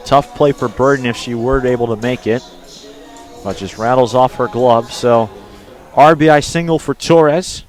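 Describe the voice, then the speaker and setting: A man doing live radio play-by-play as an announcer, lifting into excited calls on big plays. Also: medium pitch. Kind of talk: live radio sports commentary.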